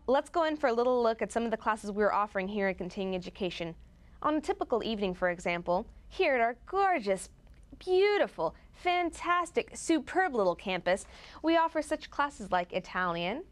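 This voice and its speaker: A woman presenting a scripted promotional piece in a chirpy, gushing, tongue-in-cheek manner. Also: a little high.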